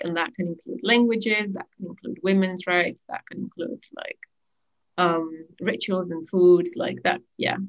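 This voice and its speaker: A woman, a bit low for her sex, talks easily and loosely, filling gaps with "like" and "um". Her voice comes over a remote call with a dull, lo-fi sound. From Spain. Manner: chatty, filler-heavy, many "like"s. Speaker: guest. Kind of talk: conversational interview answers.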